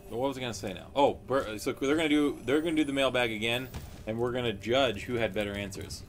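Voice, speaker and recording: A man's voice: mid-range voice, fast, faint music behind. A man co-hosting a studio game livestream, chatting casually and joking in loose banter. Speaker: stream co-host.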